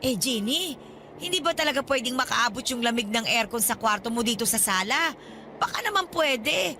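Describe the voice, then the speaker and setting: A woman's voice: fairly high pitch, animated, normal speed, bright, forceful tone, slight background noise. A woman voicing a demanding, wheedling older Filipino godmother in a radio drama, complaining and coaxing as she pushes for favours. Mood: sweetly coaxing. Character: demanding, wheedling older godmother who overstays her welcome.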